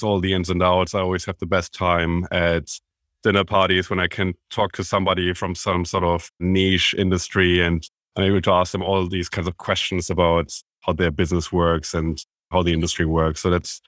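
Deep-voiced German-accented man, enthusiastic. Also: little pitch movement, normal speed, fairly bright tone, no room sound, studio quality. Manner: enthusiastic, self-described business nerd, explains at length. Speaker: podcast guest. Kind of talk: conversational interview.

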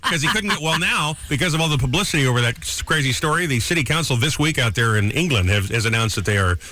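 A man's voice: medium pitch, animated, bright, forceful tone. A man hosting a radio morning show, bantering and teasing his co-hosts in the studio. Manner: brisk, jokey, teasing. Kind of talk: casual on-air banter. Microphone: studio microphone.

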